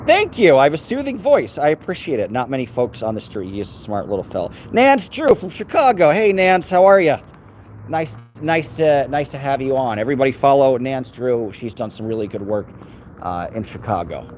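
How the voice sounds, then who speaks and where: A man rambles casually while walking his dog down city streets, talking to his live viewers and his dog as he goes. His voice sits at an average pitch, picked up on a handheld phone, with faint traffic noise in the background. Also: very animated, fairly quick, darkish tone, dull audio. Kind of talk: casual live-stream chat.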